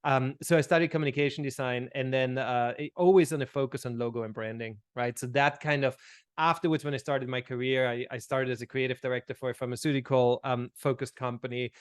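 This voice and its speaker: A middle-aged man from Austria with an Austrian accent, chatting as a podcast guest, animated and laughing often, restarting his sentences. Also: mid-range voice, a little fast, clear, resonant tone. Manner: animated, laughs often, repeats words when starting sentences. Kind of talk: casual interview chat.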